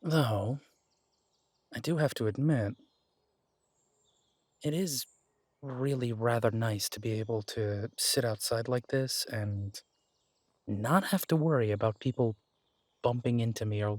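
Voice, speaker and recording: Mitch, a man playing a husky werewolf detective. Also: mid-range voice, no room sound, close to an everyday voice. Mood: warm, chatty. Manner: gentle, reassuring, unhurried. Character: male werewolf detective, protective, steady and reassuring. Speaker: audio drama performer. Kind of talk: romantic audio drama roleplay.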